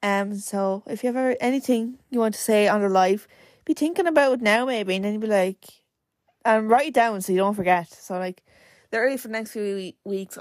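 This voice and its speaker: A young woman talks through her solo podcast in a loose, rambling way, leaning on "like" again and again. Her mid-pitched voice is slightly croaky, with the odd crack, and the sound is close and dry. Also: no room sound. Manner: chatty and rambling, full of "like" fillers. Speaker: podcast host. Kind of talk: casual solo podcast chat.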